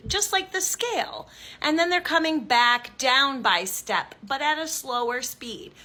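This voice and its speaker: Bright high-voiced woman teaching music. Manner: encouraging, explains step by step, sings examples. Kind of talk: online music lesson.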